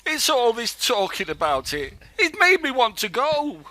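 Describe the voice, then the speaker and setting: A man's voice: extremely high pitch, very animated, moderate pace, sharp tone, full character voice. A man playing a good-natured, bumbling English wartime neighbour in an amateur radio play. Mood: whining, desperate.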